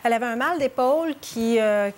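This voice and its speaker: A woman's voice: fairly high pitch, brisk. A woman, a guest coach answering interview questions in a hesitant, searching way. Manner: hesitant, many fillers and restarts.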